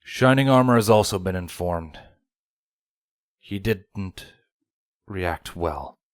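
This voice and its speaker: A man's voice: low-pitched, takes it slowly, no room sound, studio quality. A man as a grave, formal guard officer. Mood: grim.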